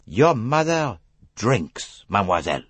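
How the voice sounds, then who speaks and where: A man voicing an elderly, courteous, shrewd foreign detective in an audiobook, speaking with slow, formal emphasis. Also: lowish voice, very animated, breathy edge, dry recording, pitched above the performer's own voice, exaggerated voice. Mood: grave, insistent.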